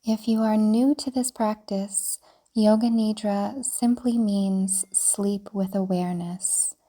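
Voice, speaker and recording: A woman yoga teacher narrating a guided meditation in a soft, breathy, soothing way, deliberately, with pauses. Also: mid-range voice, fairly even intonation. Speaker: yoga nidra teacher guiding a practice.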